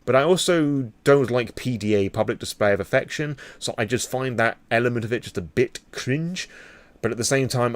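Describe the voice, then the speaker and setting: A man's voice: on the low side, dry recording. A British man rambling candidly through an impromptu vlog, opinionated and freely swearing. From Britain. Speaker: vlogger.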